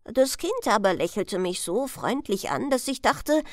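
A woman's voice: a little high, animated, unhurried, dry recording, studio quality. A woman voicing a wise old male stork in a storybook tale, earnest and proclaiming solemnly. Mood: solemn wonder. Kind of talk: storybook character voice.

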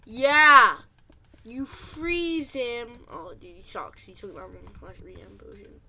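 Animated, bright-voiced young-sounding gamer with keyboard clicks. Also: high voice, takes it slowly. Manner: rambling, informal, exclamatory. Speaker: gaming video host. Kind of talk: excitable gameplay commentary. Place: indoors at a computer. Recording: background noise with keyboard clicks. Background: keyboard typing and mouse clicks.

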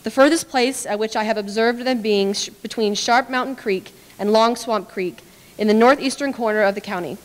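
A young adult woman reading historical documents aloud in a formal, measured, declamatory way. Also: fairly high pitch. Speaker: dramatic reader. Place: large brick-walled hall with stage, indoors. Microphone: podium microphone. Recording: echoey hall with long reverb.

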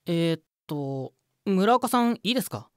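An actor playing a junior studio mixer, polite, hesitant and resigned, in a voice drama. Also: male voice, high voice, big pitch swings, moderate pace, smooth, no room sound. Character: put-upon junior male studio mixer. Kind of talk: voice drama acting.

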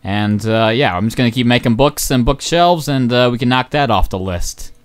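Young male game commentator, smooth voice, hoarse, rambling and excitable, many ums. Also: medium pitch, normal speed. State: voice going hoarse. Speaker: gaming channel host. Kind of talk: casual gameplay commentary.

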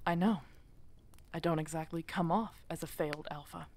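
A woman playing a guarded, weary werewolf hermit in an ASMR audio drama, speaking tersely and hesitantly with a creaky voice. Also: sits low, steady tone, moderate pace, darkish tone. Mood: guarded, confessional.

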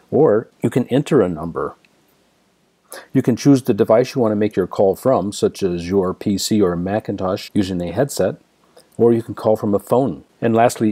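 Deep-voiced American man presenting a software tutorial. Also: husky, dry recording. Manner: methodical, explanatory. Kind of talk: step-by-step screen demonstration narration.

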